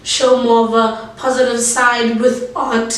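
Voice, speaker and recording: A woman's voice: fairly high pitch, flat delivery, unhurried. A young South African woman, a rapper, chatting casually in a loose, filler-heavy way as she tells her story. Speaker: rapper and guest. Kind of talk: casual conversation. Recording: roomy space, distant mic.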